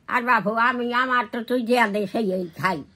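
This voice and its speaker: A woman's voice: fairly high pitch, unhurried, sharp tone. Older woman with East Bengali accent. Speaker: grandmother. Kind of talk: casual family chat.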